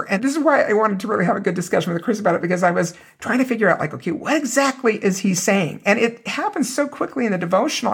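A woman hosts a casual faith podcast in a hoarse, raw voice. Her pitch sits in an ordinary middle range. She talks conversationally and pauses to repeat the passages she reads aloud. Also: a bit bright. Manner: conversational, rereads and repeats quoted passages for emphasis. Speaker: podcast host. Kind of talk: casual religious podcast talk.

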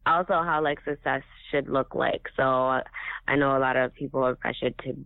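A man's voice: mid-range voice, fairly even intonation, normal speed, creaky, dry recording. A man raised speaking Swahili as his first language, telling his story in an earnest, reflective casual chat. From Africa. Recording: tinny phone-like sound.

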